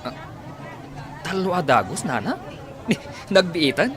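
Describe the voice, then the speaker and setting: A man's voice: fairly high pitch, sing-song, a little slow, a crowd in the background. A man acting a friendly, curious young visitor with an Ilocano accent in a radio comedy drama.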